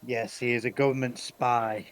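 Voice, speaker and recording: A man's voice: medium pitch, level tone, moderate pace. A man chatting and teasing his co-host casually on a live stream. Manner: teasing, joking.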